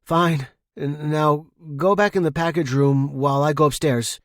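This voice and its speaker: A tense male office worker from an audio story, acted out by a male narrator. He is panicked, defensive and foul-mouthed, snapping in a raised pitch with clean, dry sound. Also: fairly even intonation, a little slow, dry recording. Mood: nervous, urgent. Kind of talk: audio fiction character dialogue.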